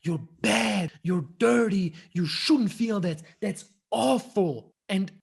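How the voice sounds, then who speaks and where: A man's voice: sits high, takes it slowly, creaky, slightly rough. A man from Austria, a guest men's coach, talking frankly and swearing in a casual podcast interview, often restarting his sentences.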